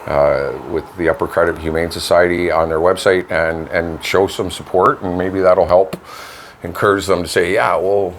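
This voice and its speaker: A man's voice: deep voice, steady tone, normal speed. An older man with a Canadian accent co-hosting a casual local news chat, agreeable and easygoing, sometimes reading items out. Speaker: co-host of a local online radio vlog. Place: home studio, indoors. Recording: clearly noisy home studio recording.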